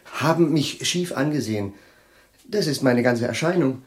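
A man playing an absent-minded, nervous chemistry professor in a radio play, formally polite and often halting as he gropes for words. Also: medium pitch, animated, close to an everyday voice. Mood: nervous, courteous. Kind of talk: German radio play acting.

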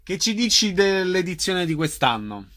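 A man's voice: sits high, sing-song, normal speed, sharp tone. A man hosting a radio interview, asking questions and making announcements.

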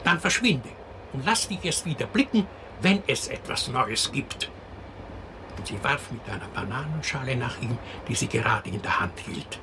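An actor playing a storyteller who narrates a fairy tale expressively in a radio drama. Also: male voice, mid-range voice, animated, normal speed, noisy background, natural voice. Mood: storytelling.

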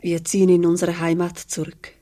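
Actress as low, mellow, weary peasant woman. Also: monotone, normal speed, lightly acted. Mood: reverent, subdued. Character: weary, pious poor migrant peasant woman. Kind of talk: German radio play acting.

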